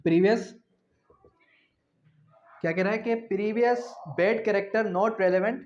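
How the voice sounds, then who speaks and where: A man giving an explanatory law lecture, repeating key phrases slowly and asking rhetorical questions in a didactic way. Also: high voice, a little mellow, slight background noise. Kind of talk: explanatory law lecture, mixing in English terms. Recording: dull, muffled lo-fi recording.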